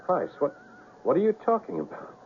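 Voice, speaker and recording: An actor playing a gentle reformed safecracker with hesitant courtesy, in old-time radio drama style. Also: male voice, high voice, very animated, fairly quick, vocal fry, breathy edge, full character voice. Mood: resigned, earnest. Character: gentle reformed safecracker, a man saying goodbye to his fiancée. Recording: muffled narrow-band radio, faint hiss.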